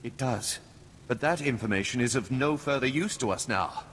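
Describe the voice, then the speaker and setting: An actor playing a scheming, formal aristocrat in a video game, speaking in a grave, measured manner. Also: male voice, medium pitch, a bit bright, close to an everyday voice. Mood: grave, resigned. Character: scheming, formal male aristocrat hiring a killer. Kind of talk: scripted video game acting. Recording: reverberant hall, faint noise.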